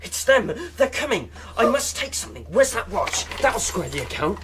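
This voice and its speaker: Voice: mid-range voice, big pitch swings, normal speed, lightly acted. An actor playing a brave, eager boy at a seaside inn in a radio drama. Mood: urgent. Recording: noisy radio drama with clicks.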